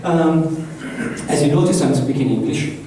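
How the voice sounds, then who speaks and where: A man's voice: on the high side, a little slow. A man with an Italian accent, leading a workshop in a chatty, loose way and asking the room questions. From Italy. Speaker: workshop trainer. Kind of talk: live workshop talk to an audience. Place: large echoey conference room. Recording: echoey room from far off, faint hiss.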